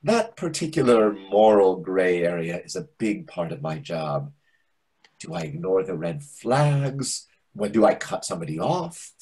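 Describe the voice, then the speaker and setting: A man's voice: low voice, big pitch swings, measured pace, mellow. A middle-aged man playing a chatty, nosy, self-important waiter in a comedy play reading, pompous and insinuating. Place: indoors on a video call. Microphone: video call microphone.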